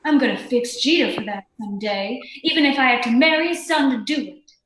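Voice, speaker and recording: A woman playing a tough, sharp-tongued femme fatale in an old-time radio detective play, curt and sardonic. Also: sits high, a little fast, slight background noise. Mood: bitter, vengeful. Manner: curt, hard-boiled, sardonic. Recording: echoey room sound.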